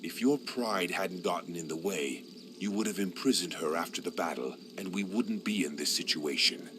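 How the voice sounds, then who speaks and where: Guy voicing a grim demon-cursed knight, deep and slow, noisy background. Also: animated. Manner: slow, low and threatening. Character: brooding male knight harboring a demon, bitter.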